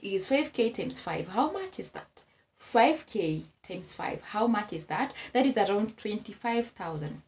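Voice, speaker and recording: A woman's voice: mid-range voice, very animated, measured pace, darkish tone. A Kenyan woman vlogging casual advice to camera, repeating her points for emphasis and exclaiming as she goes.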